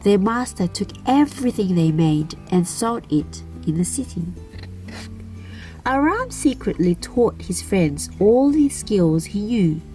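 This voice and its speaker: A woman narrating a children's fairy tale aloud as a storyteller, in an expressive, deliberate manner. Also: mid-range voice, faint music behind. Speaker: storyteller and channel host.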